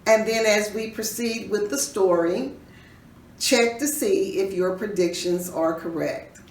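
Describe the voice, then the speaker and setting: A woman reading a children's story aloud in an expressive, teacherly way, pausing to put questions to her listeners. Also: medium pitch. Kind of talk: dramatic read-aloud with questions to young listeners. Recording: roomy echo, distant mic, faint noise.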